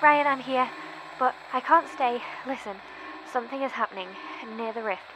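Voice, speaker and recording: A woman playing a frightened bunker survivor in a horror audio drama, speaking urgently and haltingly over a two-way radio. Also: high-pitched. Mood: frightened, hesitant. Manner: halting, breaking off sentences, urgent. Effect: two-way radio transmission. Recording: noisy radio transmission.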